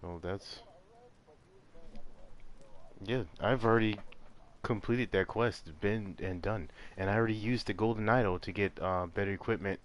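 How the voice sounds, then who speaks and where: A man's voice: lowish voice, steady tone, normal speed, pauses often, a little hiss or hum. A man hosting a gaming channel, giving casual commentary over gameplay.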